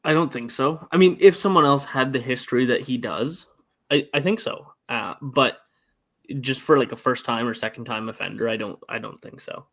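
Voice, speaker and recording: A man with an average-pitched voice co-hosts a relaxed hockey podcast. He lays out the facts, then turns to his partner with questions. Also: normal speed. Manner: leads the discussion, laying out the facts before asking questions. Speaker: co-host, hockey writer. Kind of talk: casual sports podcast chat.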